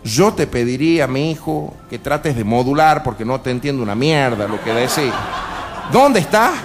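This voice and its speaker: A man's voice: medium pitch, lively intonation, normal speed, over music, natural voice. A man playing a folksy, foul-mouthed, exasperated Argentine provincial boss in a satirical radio sketch, grumbling and repeating himself colloquially. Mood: sarcastic, irritated. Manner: grumbling, colloquial, repeats words and pet address.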